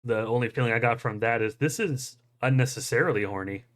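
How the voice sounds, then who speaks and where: A man's voice: medium pitch, a little fast, a bit bright. A man hosting a podcast, chatting casually and enthusiastically in a rambling way with frequent chuckles. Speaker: podcast host. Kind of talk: casual chat about anime.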